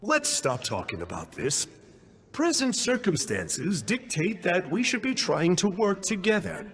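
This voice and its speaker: A man's voice: high voice, wildly swooping pitch, measured pace, a bit bright, soft background music, exaggerated voice. A man voicing a smug, self-important youthful clerk in a video game, wordy and pompous in his theatrical delivery. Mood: grandly explanatory.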